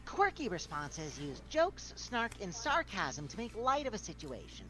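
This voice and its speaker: A woman voicing a polished, helpful machine assistant in a video game, speaking in a measured, precise, salesy way. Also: mid-range voice, wildly swooping pitch. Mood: self-assured. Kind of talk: scripted game dialogue read aloud.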